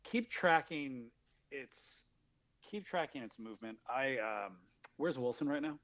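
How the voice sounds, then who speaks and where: A man roleplaying a commander in a tabletop game, understated and halting, with calm authority. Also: medium pitch. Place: indoors at home on a video call.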